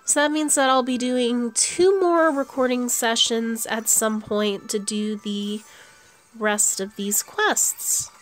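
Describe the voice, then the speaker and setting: A woman's voice: a little high, fairly even intonation, slow pace. A woman giving casual commentary on her own gameplay, thinking aloud as she plays. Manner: thinks aloud while playing. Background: video game music.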